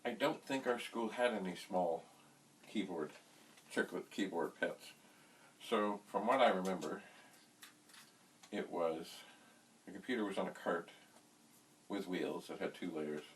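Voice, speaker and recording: A middle-aged man giving an unscripted hands-on demonstration, speaking in deliberate, broken phrases with quaint, slightly theatrical wording, recorded on a distant mic. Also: low voice. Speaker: vintage computer hobbyist presenting a how-to vlog. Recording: distant mic, faint background hiss.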